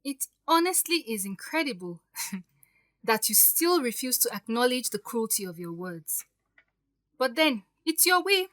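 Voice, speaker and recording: A woman's voice: fairly high pitch, big pitch swings, measured pace, fairly bright tone, dry recording. A woman with a Nigerian accent playing a hurt, defiant young stepdaughter in an audio drama, speaking with emphatic, pleading firmness. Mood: wounded, reproachful. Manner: emphatic, pleading yet firm.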